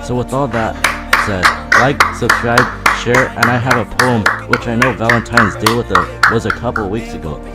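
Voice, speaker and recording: A teenage boy vlogging a roast in a flat, monotone, deadpan voice with dry sarcasm. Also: mid-range voice, normal speed, loud music behind the voice. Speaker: YouTuber. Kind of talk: casual roast vlog narration.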